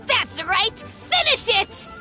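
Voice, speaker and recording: A woman's voice: very high voice, exaggerated voice. A woman voicing an eager, earnest little boy in a video game, proud and competitive in a childlike way. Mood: gloating. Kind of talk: English video game voice acting.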